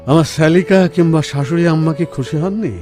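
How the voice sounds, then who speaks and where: Actor as tender, reasoning husband over music. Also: male voice, on the high side, moderate pace, close to an everyday voice. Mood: amused, reassuring. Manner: tender, reasoning, gently persuasive. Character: affectionate, well-off husband reassuring his sensitive wife. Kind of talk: Bengali radio play acting. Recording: music under the dialogue. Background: background music.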